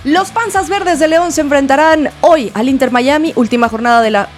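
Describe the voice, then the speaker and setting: Chilanga lady co-hosting morning sports radio, kinda high voice, teasing, faint music under. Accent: Mexico City. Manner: playful, teasing, quick to laugh. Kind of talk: morning show banter and news reading.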